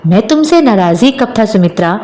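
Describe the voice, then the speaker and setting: A woman's voice: mid-range voice, lively intonation, a little fast, mellow, close to an everyday voice. A woman voicing a moody, emphatic village husband who longs for a son, in a storybook tale. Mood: placating.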